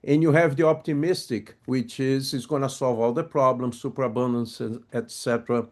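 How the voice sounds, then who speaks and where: A man's voice: mid-range voice, steady tone, moderate pace. A man with a Brazilian Portuguese accent, who first spoke German and French, giving a friendly webinar talk, often hesitant and full of fillers, on a video call. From grew up in a German- and French-speaking home, moved to Brazil. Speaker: webinar presenter on project management. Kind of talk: live webinar presentation.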